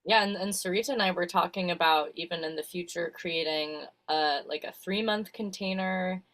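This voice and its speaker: A woman's voice: on the low side, normal speed, a bit bright. A woman trainer talking on a video call, thoughtful and trailing off mid-phrase. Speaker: trainer for mothers. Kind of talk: casual video-call interview.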